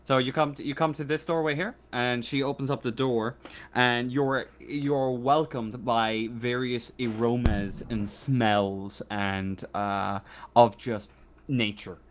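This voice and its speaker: A man improvising narration as game master of a tabletop roleplaying game, thinking aloud in a halting way. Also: mid-range voice, steady tone, a little hiss or hum.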